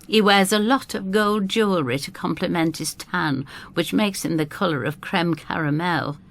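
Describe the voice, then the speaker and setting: A woman's voice: lowish voice, moderate pace, creaky. An actress playing a blunt, protective older woman who narrates an audio drama in a wry, droll, disapproving manner. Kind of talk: first-person dramatised storytelling.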